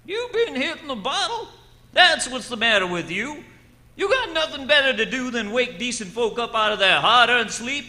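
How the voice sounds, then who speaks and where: Sharp, high-voiced grumpy country shopkeeper. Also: male voice, very animated, moderate pace, full character voice. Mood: scolding, irritated. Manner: curt, folksy, scolding. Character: grumpy, suspicious rural roadside shopkeeper, a married man. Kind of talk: old-time radio drama acting.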